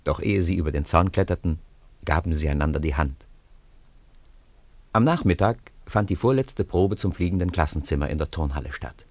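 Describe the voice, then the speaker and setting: A man's voice: sits low, normal speed, darkish tone, no echo. A man narrating a scripted story for a radio play.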